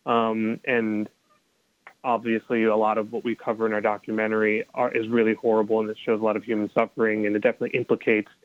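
Colombian American man, filmmaker in an interview, halting delivery, phone-quality sound with no room. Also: lowish voice, flat delivery, no echo. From United States. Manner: hesitant, many restarts and fillers. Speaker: documentary filmmaker. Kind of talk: interview answers. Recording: dry tinny phone-like line.

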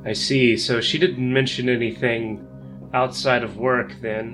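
A man playing a polite, inquisitive male doctor in a tabletop game, speaking in a measured, slightly formal way. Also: medium pitch, steady tone, close to an everyday voice. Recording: music playing behind the voice.

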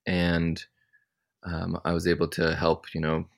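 Young male interview guest, very deep voice, easygoing and reflective delivery. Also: unhurried, creaky. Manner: relaxed, thoughtful, many ums. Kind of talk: casual interview answers.

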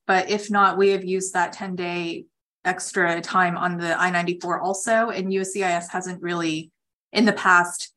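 A woman's voice: mid-range voice, a bit bright. Direct woman advising callers on a call. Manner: direct, explanatory. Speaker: immigration attorney hosting a call-in session. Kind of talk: conversational legal advice to callers. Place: conference call.

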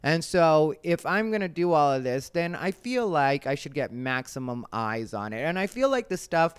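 A man's voice: a little high, very animated, moderate pace, clean tone, no room sound, clean studio sound. An American man from Arizona talking in a casual, rambling and conversational vlog style, with emphatic moments. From Arizona, United States. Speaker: comedian and show host. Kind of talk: casual solo vlog chat.

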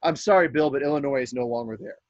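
A man's voice: medium pitch, fast talker, no echo. Crude joking man on a muffled call. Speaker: podcast guest. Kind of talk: casual chat. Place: camper trailer.

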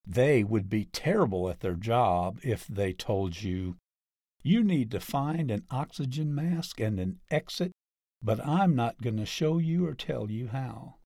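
Slow-paced man giving a practical talk. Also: lowish voice, a little mellow, dry recording, studio quality. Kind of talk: conversational scripted teaching narration.

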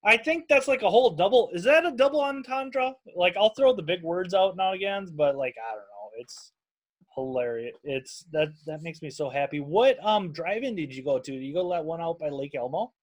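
A man's voice: sits high, lively intonation, a little fast. A man with a Minnesotan accent hosting a casual chat, talking in a rambling, heavily profane way. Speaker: podcast host.

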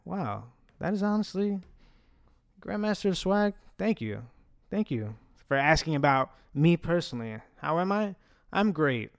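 A man's voice: a little high, no echo, clean studio sound. A young man whose first language is Italian, hosting a casual fan Q&A, chatty and joking, with a slight stutter and restarts. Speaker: host answering fan questions. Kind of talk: casual Q&A chat.

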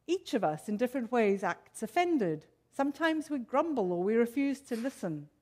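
A South African woman preaching a sermon to her congregation in a measured, earnest way. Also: sits high, darkish tone.

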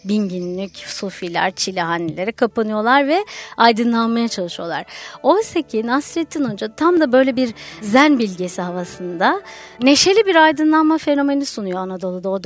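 A woman guest on a radio show, a folklore researcher explaining ideas in a lively way with anecdotes. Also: medium pitch. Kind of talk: radio conversation. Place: radio studio.

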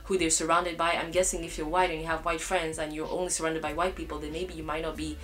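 A woman's voice: deep voice, level tone, fast talker, a bit bright. A young woman from Cameroon co-hosting a casual talk show, speaking emphatically and throwing out rhetorical questions. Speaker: podcast co-host. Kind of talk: casual chat.

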